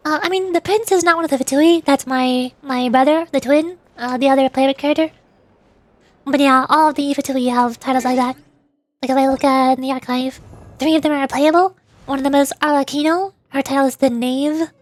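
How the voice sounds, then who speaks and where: A woman's voice: sits high, fairly even intonation, brisk. A woman game streamer chatting casually and explaining things in a rambling way. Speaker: streamer, virtual YouTuber. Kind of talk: casual game livestream chat.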